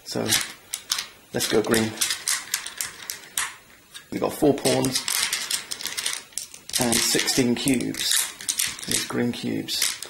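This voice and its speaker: Gravelly, even-toned man explaining rules. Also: mid-range voice, extremely slow, long pauses, very noisy. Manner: chatty, enthusiastic, self-deprecating asides. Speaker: board game channel host. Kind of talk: tabletop game rules walkthrough.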